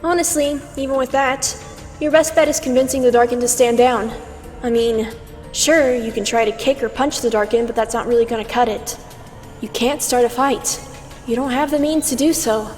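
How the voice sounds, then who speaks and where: A woman voices an immortal aspect of Twilight with a high, theatrical voice. She lectures like a stern mentor, teasing and condescending, in a fantasy comic dub. Long reverb surrounds her, and music plays behind. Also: steady tone, moderate pace, darkish tone, close to an everyday voice. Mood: cautioning. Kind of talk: scripted fan comic dub acting.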